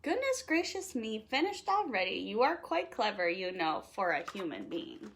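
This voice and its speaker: A woman's voice: medium pitch, wildly swooping pitch, normal speed, fairly bright tone, a little hiss or hum. A woman reading a storybook and voicing a prim, polite, fussy male protocol droid. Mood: politely amazed.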